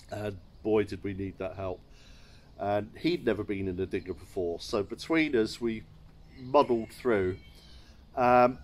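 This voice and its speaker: A man's voice: lowish voice, darkish tone. Middle-aged English man, dry understated storyteller, outdoors with faint background hiss. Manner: wry, understated, chuckling at his own mishaps. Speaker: chateau owner and vlogger. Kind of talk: casual storytelling to camera. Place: sunny garden courtyard outdoors.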